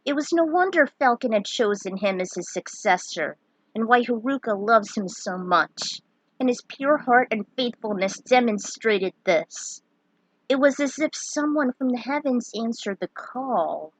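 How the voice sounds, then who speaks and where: A woman's voice: on the high side, animated, measured pace, dry recording, poor audio. A woman reading a fan fiction story aloud, dramatic in her telling and given to opinionated asides. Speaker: narrator.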